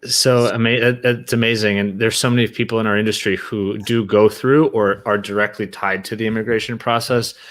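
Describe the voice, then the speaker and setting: A man hosts a podcast interview in a fairly low voice. He talks in a loose, conversational way, hedging and filling gaps with "like" and "I mean.". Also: fairly even intonation, brisk, hardly any pauses, fairly bright tone. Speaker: podcast host.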